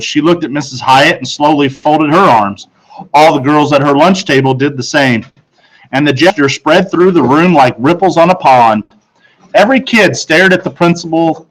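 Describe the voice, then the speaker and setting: A man who is a teacher, narrating a children's book aloud with expressive storytelling. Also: on the high side, poor audio.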